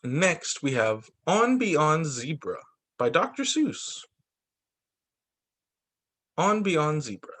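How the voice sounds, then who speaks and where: Male teacher for picture-book read-aloud: expressive storyteller, fairly high voice, highly varied melody. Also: slow pace, pauses often. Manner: expressive, sing-song storytelling. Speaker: teacher reading to young students.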